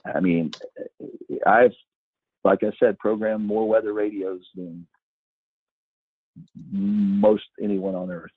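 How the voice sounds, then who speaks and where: A man's voice: lowish voice, steady tone, takes it slowly, long pauses, slightly rough, dry recording. A man answering questions as a guest expert in an informal webinar, giving emphatic advice over a phone-like connection. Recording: tinny phone-line sound, dry.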